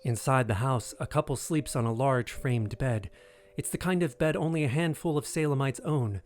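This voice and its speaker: A man's voice: mid-range voice, normal speed. A man narrating an audio drama in a scripted, dramatic storytelling style.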